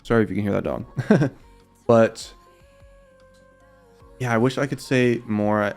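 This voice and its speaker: Young man with a slight rasp. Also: fairly low pitch, measured pace, soft background music. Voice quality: slightly raspy. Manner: rambling, loose, many fillers and repeats. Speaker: streamer answering viewer questions. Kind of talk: casual livestream Q&A chat.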